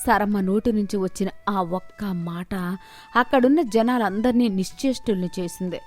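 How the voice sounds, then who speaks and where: A woman's voice: medium pitch, moderate pace, a little mellow. A woman delivering scripted narration of a moral story, with music behind her.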